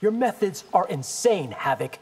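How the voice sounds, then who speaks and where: An actor playing a defiant, wry young warrior hero in a fighting game. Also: male voice, high voice, sing-song, normal speed, very noisy. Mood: defiant, sarcastic. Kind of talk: fighting-game character dialogue.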